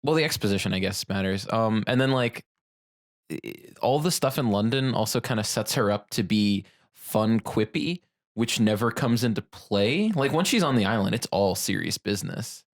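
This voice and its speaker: A man's voice: on the low side, expressive, normal speed, dry recording. A man co-hosting a podcast in casual, unscripted discussion, with a dry, offhand and hesitant manner. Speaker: podcast co-host.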